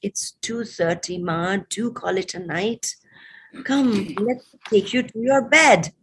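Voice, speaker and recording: A woman's voice: mid-range voice, animated, takes it slowly, noisy background, voice pushed up. A woman voicing a worried adult daughter in a poetry recitation, making urgent, wheedling appeals to an elderly mother. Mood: anxious coaxing.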